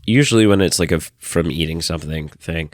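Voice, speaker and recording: A man with a hoarse, nasal voice that is wearing out, sitting a little low in pitch. He chats and jokes in a sarcastic, teasing way as a podcast co-host. The sound is dry and close, with no room around it. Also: fairly quick, dry recording. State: hoarse, losing his voice. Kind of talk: casual chat.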